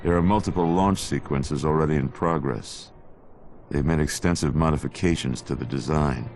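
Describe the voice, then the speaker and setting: An actor playing a grim, no-nonsense superhero in a video game, terse and deadpan. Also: male voice, extremely low pitch, moderate pace, a little hiss or hum. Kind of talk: video game drama acting.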